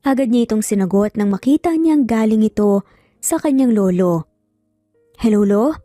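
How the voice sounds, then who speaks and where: A woman's voice: a little high, animated, moderate pace, dark tone, clean studio sound. A woman with a Filipino accent narrating a scripted romance story in a dramatic storytelling style. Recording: roomy echo, faint music behind. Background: faint background music.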